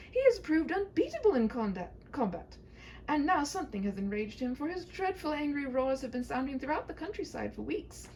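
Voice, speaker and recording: A woman's voice: sits high, a little mellow, a little hiss or hum, pitched above the performer's own voice. A woman voicing a prim, tender-hearted young princess in a comic fairy tale, earnest and formal.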